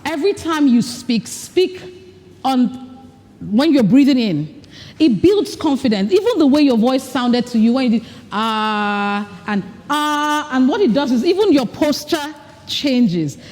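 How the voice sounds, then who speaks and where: A woman gives a workshop talk in a large hall, direct and coaching, sometimes acting out little dialogues. Her voice sits fairly high with a mellow, warm tone. A long reverb trails after each phrase. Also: big pitch swings, unhurried. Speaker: speaker at a women's leadership retreat. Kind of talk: live workshop talk with breathing exercises. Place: large event hall with an audience. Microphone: recording mic, not amplified.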